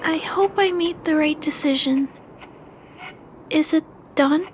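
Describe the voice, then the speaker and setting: A woman voicing a gentle, caring little girl in a video game, with an earnest, coaxing manner. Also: high-pitched, takes it slowly, a little hiss or hum. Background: faint background music.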